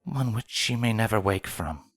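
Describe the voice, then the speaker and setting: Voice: mid-range voice, lively intonation, moderate pace, fairly bright tone, no room sound. Someone doing a royal sun pony princess, solemn n composed, formal, sad and protective, dry sound. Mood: sorrowful. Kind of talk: dramatic fan fiction audio reading.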